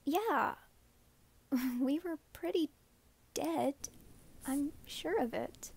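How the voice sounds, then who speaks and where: A woman's voice: sits high, very slow, vocal fry, full character voice. A woman playing a mischievous, grateful young witch sister back from the grave, in an ASMR roleplay. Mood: curious.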